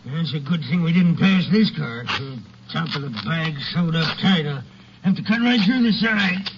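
High-voiced bossy railroad detective. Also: male voice, animated, moderate pace. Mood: grim, businesslike. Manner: blunt, commanding, folksy slangy talk. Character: gruff, dutiful railroad detective, suspicious and bossy. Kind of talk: 1950s western radio drama acting. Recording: dull, noisy old radio recording.

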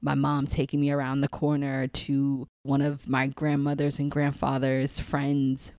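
Low-voiced chatty New woman. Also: measured pace, clear, resonant tone, dry recording, studio quality. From Queens, New York City. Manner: animated, rambling, laughs often, many "like"s. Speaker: guest, psychic channel. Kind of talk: casual interview chat.

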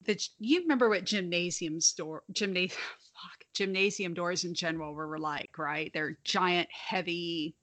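A woman's voice: lowish voice, measured pace, fairly bright tone. A young adult woman with a slight lisp, telling stories frankly in a casual podcast chat and swearing freely. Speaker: podcast co-host.

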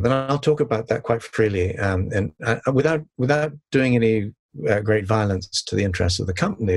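An older man with a calm voice, answering interview questions in a measured, reflective way with many pauses and restarts. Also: lowish voice. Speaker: sustainability consultant and author, guest.

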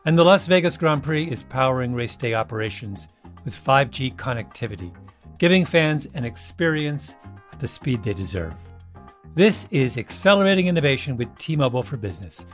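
A man's voice: medium pitch, very animated, normal speed, soft background music. A man reading scripted advertisements as an announcer. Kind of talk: scripted ad read.

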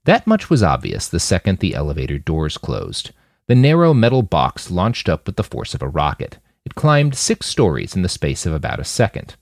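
A man narrates scripted fiction in a lowish voice. His pitch moves around a great deal, and the sound is dry and close, as in an audiobook. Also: wildly swooping pitch, normal speed, creaky, no room sound. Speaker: author and narrator of a fiction podcast.

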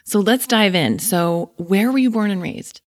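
A woman's voice: medium pitch, a little slow, no echo, studio quality. A woman hosting an interview podcast, effusive and gushing over her guest in casual chat. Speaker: podcast host.

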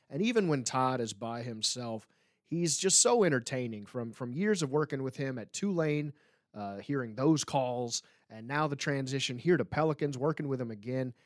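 A man's voice: mid-range voice, no echo, studio quality. A man hosting a sports podcast in a casual, chatty style. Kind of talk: casual chat.

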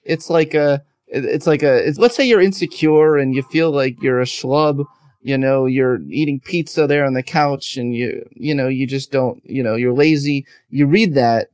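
A male podcast host chatting casually with a guest. He jokes and ribs the material in a playful, teasing way. His voice is mid-pitched and recorded dry and close. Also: fairly even intonation, normal speed, darkish tone, smooth, no echo. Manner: jokey, playful, reads quotes aloud mockingly. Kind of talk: casual interview chat.

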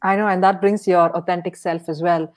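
A woman's voice: on the low side, fairly even intonation, a little fast. A woman hosting a podcast, interviewing a guest with upbeat, brief affirmations. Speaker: podcast host.

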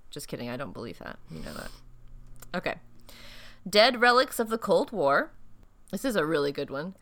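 A woman's voice: lowish voice, big pitch swings, moderate pace, a bit bright. A woman co-hosting a podcast in casual chat, playful and joking, laughing often, sounding a little tired. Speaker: podcast co-host.

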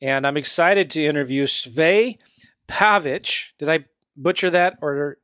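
A man's voice: on the high side, measured pace, sharp tone, no room sound, clean studio sound. A man hosting a podcast interview, upbeat and chatty, laughing easily. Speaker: podcast host.

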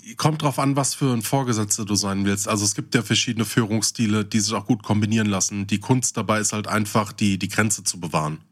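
A man's voice: lowish voice, fairly even intonation, fast, few pauses. A man co-hosting a podcast, chatting casually in a colloquial, wry way. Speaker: podcast co-host. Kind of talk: casual chat.

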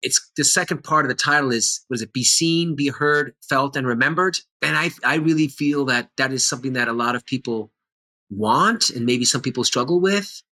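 A man's voice: medium pitch, moderate pace, sharp tone. A middle-aged man, an actor and teacher, talking earnestly and with animation in a conversational interview.

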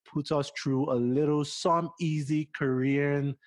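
A man from Nigeria with a Nigerian accent softened toward American, interviewing a guest in a rambling, casual way. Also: a little high, fairly even intonation, takes it slowly, dry recording, clean studio sound. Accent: Nigerian English, partly Americanized. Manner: chatty, rambling, many fillers.

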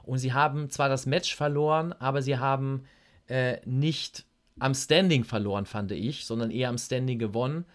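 A man's voice: mid-range voice, normal speed. A man co-hosting a wrestling podcast, giving critical opinions in casual chat with hesitant fillers.

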